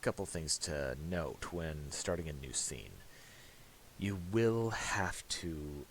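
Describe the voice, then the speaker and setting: Male tutorial presenter, low and unhurried, halting and unscripted, casual delivery. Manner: halting, thinking aloud. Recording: faint hiss and mouse clicks.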